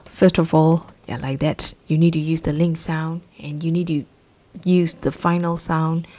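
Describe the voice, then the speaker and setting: Low mellow Burmese-accented teacher. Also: female voice, level tone. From Myanmar. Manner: repeats key phrases slowly to drill them. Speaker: English teacher. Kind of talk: exam-prep lesson.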